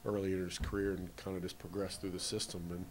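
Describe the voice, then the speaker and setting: A man's voice: low-pitched, flat delivery, fast talker, slight background noise. A man in his forties with a Canadian accent, a baseball manager answering postgame questions in a plain, matter-of-fact way in an indoor hallway. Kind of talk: postgame press interview. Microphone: handheld reporter mic.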